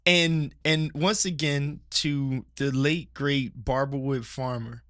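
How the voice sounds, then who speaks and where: A man's voice: mid-range voice, fairly even intonation, slow pace, pushed and bright, dry recording, clean studio sound. A man from Philadelphia co-hosting a podcast, ranting passionately about church culture and often restarting his sentences. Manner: passionate, rambling, many restarts. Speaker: podcast co-host. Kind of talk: casual church-culture chat and rant. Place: studio table with co-host.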